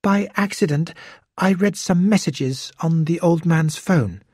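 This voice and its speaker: A man's voice: fairly high pitch, darkish tone, breathy edge, no echo, clean studio sound, pitched above the performer's own voice. A man voicing a nervous, hesitant young Japanese man who halts and pleads as he speaks. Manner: halting, pleading.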